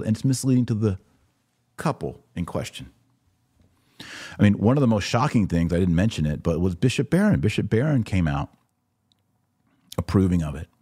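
Male podcast host, low and a touch rough, dry sound, emphatic commentator fielding viewer questions. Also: warm tone, no room sound. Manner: emphatic, repeats short phrases for stress.

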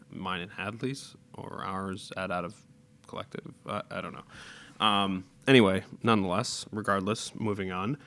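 A man's voice: fairly low pitch, pushed and bright. A man hosting a podcast, introducing the next interview in a rambling, casual way with some swearing. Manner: rambling, profane. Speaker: podcast host.

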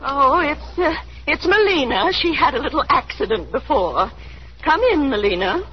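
Chattering society-minded mama, middle-aged, affected graciousness, vintage radio play, dull with faint hiss. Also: female voice, high voice, animated, moderate pace. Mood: anxious. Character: fussy, genteel middle-aged mother putting on social airs. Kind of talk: 1930s radio drama acting. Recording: muffled, noisy vintage 1930s radio broadcast.

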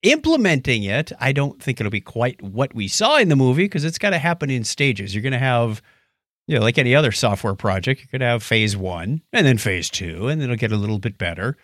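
A man's voice: medium pitch, very animated, a little fast, fairly bright tone, no room sound, studio quality. A man hosting a podcast in a casual, joking, conversational way. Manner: joking, playful. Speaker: podcast host. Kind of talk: casual co-hosted podcast chat.

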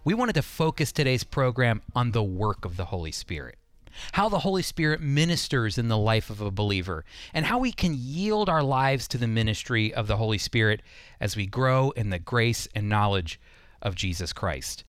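A man's voice: medium pitch, very animated, moderate pace, no room sound, studio quality. A man hosting a radio program, delivering scripted Bible teaching and commentary.

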